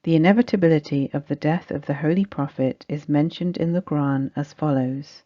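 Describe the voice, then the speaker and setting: A woman's voice: low voice, brisk. A woman reading a scripted religious study text aloud as narration. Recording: dull, muffled lo-fi recording.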